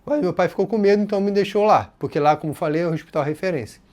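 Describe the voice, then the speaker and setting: A man's voice: sits high, rapid-fire. A man from Rio de Janeiro with a carioca accent, casually telling a personal story to his channel's viewers. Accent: Rio de Janeiro Portuguese. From Rio de Janeiro, Brazil. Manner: casual and expressive, voices others' words in quotes. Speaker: channel host.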